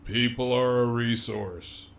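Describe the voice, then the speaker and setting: A man acts out an imprisoned gang leader in an audio drama, cocky and wryly amused. His voice is gravelly with heavy creak. He takes his time over each line, drawling with swagger and quiet menace. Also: medium pitch, steady tone, takes it slowly. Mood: wry, reflective. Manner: swaggering, foul-mouthed, drawling.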